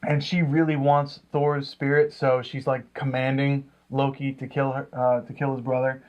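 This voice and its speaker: A man's voice: mid-range voice, little pitch movement, normal speed. A man co-hosting a comics review show, chatting casually with hesitant, rambling phrasing. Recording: dull lo-fi sound.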